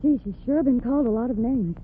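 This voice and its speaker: A woman's voice: on the high side, fast talker, a little hiss or hum, lightly acted. Woman playing a chatty young store clerk. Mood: friendly. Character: friendly young saleswoman, helpful and chatty. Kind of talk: 1940s radio comedy acting. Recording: tinny old radio broadcast.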